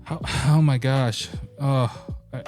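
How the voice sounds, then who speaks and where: A man's voice: medium pitch, steady tone, takes it slowly, a little mellow, soft background music. A young adult man raised in the United States who speaks with an American accent, giving blunt, exasperated reaction commentary and swearing freely. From Korea, raised in the United States. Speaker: reaction video host.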